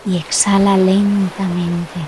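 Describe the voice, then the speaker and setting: A woman narrating a guided relaxation meditation, speaking gently and soothingly with long pauses between short phrases. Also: mid-range voice, level tone, slight background noise, poor audio. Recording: loud ocean waves behind the voice. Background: sound of sea waves.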